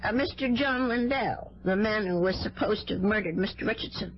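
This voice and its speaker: A woman's voice: medium pitch, fairly quick, lightly acted. An actress playing a fretful, timid older landlady in an old radio drama, fluttery and hesitant, often muttering to herself. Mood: nervous, determined. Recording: muffled vintage radio broadcast with music.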